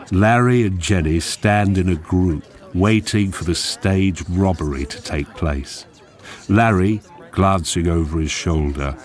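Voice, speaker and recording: An actor as a measured, deliberate narrator reading screen directions in a radio drama. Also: male voice, deep voice. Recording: music behind the narration.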